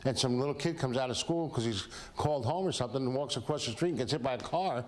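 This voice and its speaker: Older American male expert, medium pitch, informal explanatory interview delivery. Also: fast talker. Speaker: retired aviation safety investigator.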